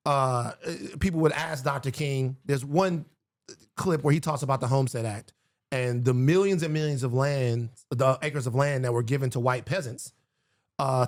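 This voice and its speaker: A man's voice: medium pitch, moderate pace. A man speaking as a guest in a casual political conversation, emphatic and building his points through repetition.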